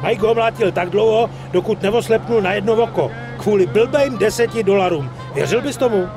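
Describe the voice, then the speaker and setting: Cocky young gangster pal, highish voice, slangy swagger, crime game, music behind. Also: male voice. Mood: breezy, streetwise. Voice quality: high-pitched, slangy, swaggering. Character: brash, cocky young mobster buddy, streetwise and foul-mouthed. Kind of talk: dubbed crime game dialogue.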